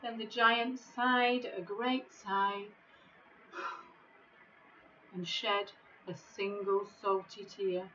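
A middle-aged woman reading a children's storybook in a measured, expressive way, recorded on a device microphone in an office. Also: mid-range voice, lo-fi sound, a little hiss or hum. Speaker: school staff member reading a bedtime story. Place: small office, indoors.

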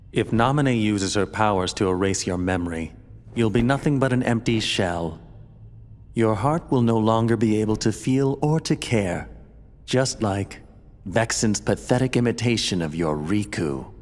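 An actor playing a haughty, menacing villain in a video game, speaking with theatrical, grandiose contempt. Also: male voice, fairly low pitch, unhurried, natural voice. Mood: contemptuous. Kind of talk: video game cutscene acting. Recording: long hall reverb, music behind.

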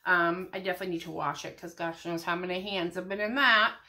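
A woman's voice: lowish voice, steady tone, fast, bright, forceful tone. A middle-aged American woman, slightly congested from a cold, chatting casually and ramblingly through a shopping haul in a small room at home. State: has a head cold. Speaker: vlogger.

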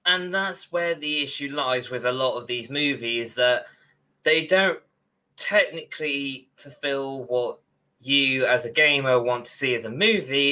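A man's voice: mid-range voice, pushed and bright. A young man co-hosting a podcast over a video call, ranting in a casual, emphatic, mildly sweary way. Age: young adult. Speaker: podcast co-host. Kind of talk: casual opinionated discussion. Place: indoor room, video call.

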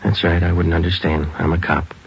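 An actor playing a clipped, businesslike police sergeant who narrates the case in 1940s radio drama style. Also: male voice, deep voice, level tone, fairly quick, husky, full character voice. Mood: dry. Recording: dull vintage radio broadcast with music.

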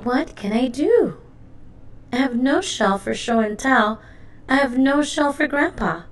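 A woman's voice: high voice, lively intonation, a little slow, distant mic, pitched above the performer's own voice. A woman voicing an eager, cheerful young male cat in a childlike, exclaiming way for a children's storybook. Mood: puzzled. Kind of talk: children's storybook read-aloud.

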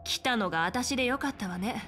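An actress playing a confident female military general in a fantasy game, speaking in an assertive, measured and frank manner. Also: a little high, lively intonation, a bit bright, faint music behind. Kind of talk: dramatic Japanese game voice acting.

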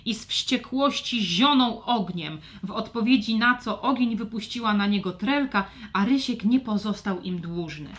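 Expressive woman narrating a children's tale. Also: medium pitch, moderate pace, hardly any pauses, a little hiss or hum. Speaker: storyteller. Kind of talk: scripted children's story narration.

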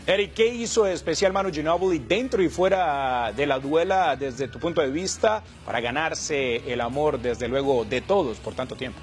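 Male sports anchor, formal broadcast read, light music bed underneath. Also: a little high. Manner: brisk, formal newsreading delivery. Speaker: news anchor.

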